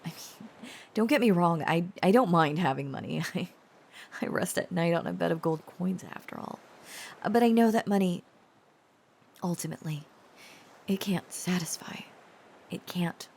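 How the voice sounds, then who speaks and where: A woman playing a tender, protective dragon-blooded girlfriend in an intimate romantic audio roleplay, halting and earnest, often slipping into whispers. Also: on the low side, gravelly, a little mellow, a little hiss or hum. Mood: earnest, confessional. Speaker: voice actor.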